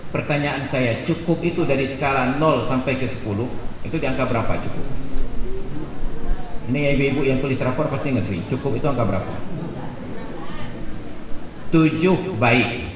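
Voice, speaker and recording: A man in his forties giving a jokey, animated sermon at a gathering, building to loud emphatic peaks. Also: medium pitch, moderate pace, pauses often. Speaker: preacher. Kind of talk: humorous sermon at a religious gathering. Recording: echoey hall with background noise.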